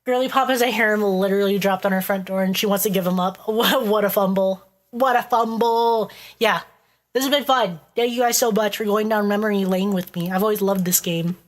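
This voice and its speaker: A woman's voice: mid-range voice, brisk. A woman streamer with a stuffy, congested voice, reading a visual novel aloud and breaking off to joke with her chat. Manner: playful, reads dialogue aloud and breaks off to joke.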